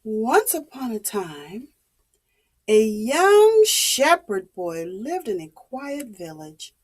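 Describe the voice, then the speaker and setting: A woman telling a story to young children in a dramatic, expressive, deliberate way. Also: fairly high pitch, breathy edge.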